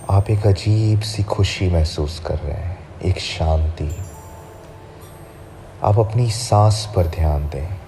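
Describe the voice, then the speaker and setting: A man with a very deep voice leads a sleep hypnosis, drawing out each phrase at a slow, soothing pace. Clear music plays behind him throughout. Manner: slow, soft, soothing guidance. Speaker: hypnotherapist guiding a sleep session.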